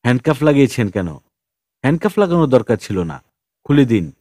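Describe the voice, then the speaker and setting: A man's voice: mid-range voice, sing-song, quick pace, darkish tone, no room sound, studio quality, lightly acted. Man voicing an irritable, curt police chief. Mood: irritated. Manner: curt, questioning. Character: irritable male police station chief, gruff and curious.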